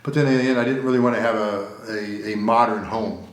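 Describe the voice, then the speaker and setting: A man's voice: lowish voice, fairly even intonation, fairly quick. Rambling American man, roomy studio. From United States. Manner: rambling, repeats words, many fillers. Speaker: interview guest. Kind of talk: casual conversational interview. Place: recording studio room.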